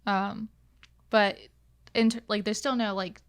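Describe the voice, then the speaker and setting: A woman's voice: medium pitch, flat delivery, a little slow, clear, resonant tone. Informal woman in casual conversation. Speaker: podcast guest, game designer. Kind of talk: casual chat.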